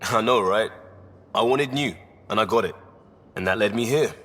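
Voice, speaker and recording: An actor playing a loyal, eager ex-cop crisis responder in a video game, earnest and plain-spoken, in short clipped phrases. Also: male voice, fairly low pitch, moderate pace, fairly bright tone. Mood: frank, upbeat. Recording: reverberant hall sound.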